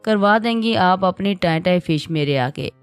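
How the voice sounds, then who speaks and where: A woman voicing a touchy, quick-tempered young wife who sulks and snaps. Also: fairly low pitch, expressive, clean tone, no echo, soft background music. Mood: huffy. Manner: indignant, sulky.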